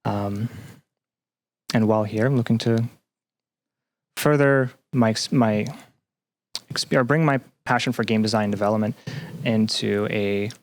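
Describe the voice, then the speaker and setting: A man talking casually as an interview guest, halting often as he searches for words. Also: fairly low pitch. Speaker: podcast guest, graduate student.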